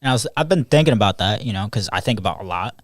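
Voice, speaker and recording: A man's voice: lowish voice, expressive, racing, no echo, clean studio sound. A teenage man hosting a casual podcast chat with friends, rambling, laughing often and swearing freely. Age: teens. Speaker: podcast host. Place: small room with friends.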